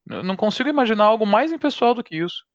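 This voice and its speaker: A man hosting a casual conversation, relaxed and chatty, inviting questions from listeners. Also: high-pitched, racing, no echo, dull audio. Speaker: host.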